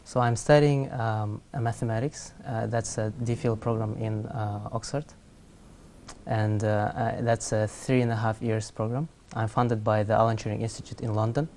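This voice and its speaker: A young man in his twenties from Kazakhstan, a studio interview guest who answers in a soft, measured, hesitant way. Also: fairly low pitch, level tone, slight background noise. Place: TV studio set.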